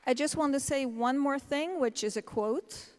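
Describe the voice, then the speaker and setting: A woman with a fairly high voice gives a motivational conference speech. She is earnest and deliberate, and her words reverberate through a large hall. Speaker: conference speaker. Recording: echoey large hall sound.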